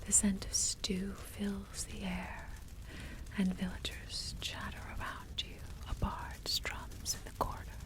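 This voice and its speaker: A woman narrating a fantasy tabletop game as game master in a soft, unhurried ASMR whisper. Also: medium pitch, completely flat delivery.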